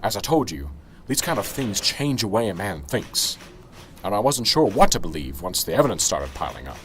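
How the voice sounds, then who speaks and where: A man's voice: on the low side, very animated, moderate pace, a bit bright, slight background noise. A man playing a middle-aged, corrupt small-town police sergeant in an amateur radio drama. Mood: defensive. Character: corrupt small-town police sergeant, chummy until cornered, then indignant.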